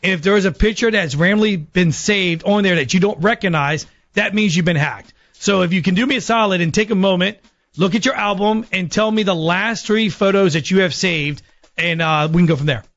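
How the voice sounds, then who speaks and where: A woman's voice: lowish voice, fairly quick. A woman working as a radio prank caller, talking politely and a little hesitantly on a phone conversation. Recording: dull lo-fi audio, music behind.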